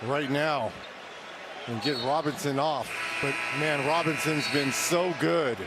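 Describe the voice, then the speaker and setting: A man's voice: a little high, slow pace. A man giving live basketball analysis as a color commentator, conversational and opinionated. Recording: loud arena noise. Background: loud basketball game noise.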